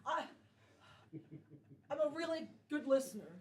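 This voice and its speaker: A comedy performer voicing an earnest man in army fatigues who talks quickly and praises himself, on a distant, noisy stage mic. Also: sits high.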